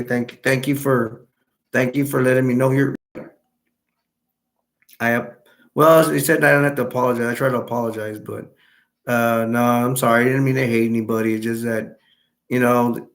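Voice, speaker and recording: A young man from Texas chatting casually on a live stream, answering viewers in a rambling, halting way with many restarts. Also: mid-range voice, level tone. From Texas, United States.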